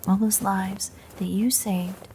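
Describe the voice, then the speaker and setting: A woman voicing a bitter, threatening female vampire in an audio roleplay, sardonic and foul-mouthed, in a breathy, creaky murmur. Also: mid-range voice, flat delivery, slow pace, dark tone, slight background noise, rough recording. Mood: angry, taunting. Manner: sardonic, profane, taunting. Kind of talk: intimate audio roleplay monologue.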